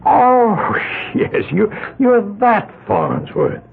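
An actor playing an overbearing older island patriarch, a genial but menacing host with a pompous manner in a 1970s radio drama. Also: male voice, sits high, huge pitch swings, extremely slow, gravelly, slightly rough, full character voice. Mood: argumentative. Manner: pompous, hesitant restarts. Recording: tinny lo-fi old radio broadcast with faint hiss.

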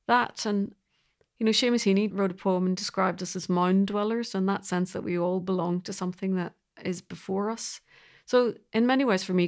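A woman with a Northern Irish accent talking reflectively in an interview, thoughtfully searching for words in short phrases. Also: medium pitch. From Northern Ireland. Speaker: composer being interviewed.

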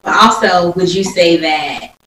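A woman with a lowish voice co-hosts a casual chat. She sounds set back from the microphone in an echoing room, with faint noise behind her. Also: measured pace, a bit bright. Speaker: podcast co-host. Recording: echoey room, distant mic, faint noise.

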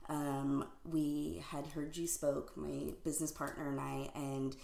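A woman hosting a podcast, chatty and quick to laugh, interviewing a guest in the guest's home. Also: sits low, little pitch movement, gravelly, slight background noise. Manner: warm-hearted, chatty, laughs often. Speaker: podcast host. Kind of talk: casual interview conversation. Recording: echoey home room.

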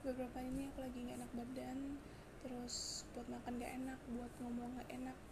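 A hoarse young woman hosting a casual solo podcast, chatty and confiding, with frequent fillers and restarts. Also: a little high, monotone, a little fast, background noise. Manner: chatty, confiding, mixes in English words, many fillers and restarts. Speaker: podcast host.

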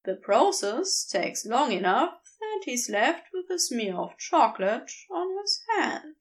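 A woman reading a fantasy story aloud, with unhurried and expressive storytelling. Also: high-pitched. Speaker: podfic reader. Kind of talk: scripted story narration.

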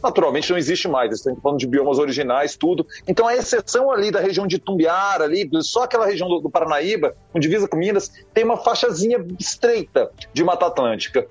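Brief: man from Goiás, talk-radio commentator, slightly husky, dry muffled studio sound, animated and rambling. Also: high voice, fast talker, few pauses, no echo, soft background music. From Goiás, Brazil. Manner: animated, digressive, frequent tag questions.

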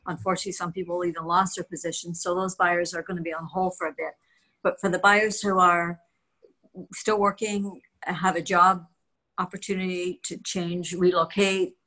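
A low-pitched older woman speaks with the easy authority of a veteran real estate agent. She chats at length in a relaxed, self-mocking podcast conversation. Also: low-quality recording. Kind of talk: casual podcast chat.

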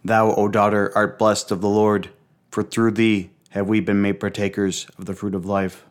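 A man reciting liturgical prayers, psalms and hymns in a solemn, measured, reverent manner. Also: fairly low pitch, little pitch movement.

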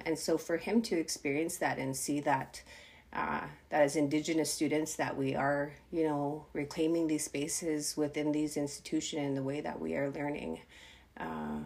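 A woman co-hosting a podcast, speaking with a stuffed-up voice from a cold, thankful and reflective in casual conversation. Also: sits low. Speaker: podcast co-host.

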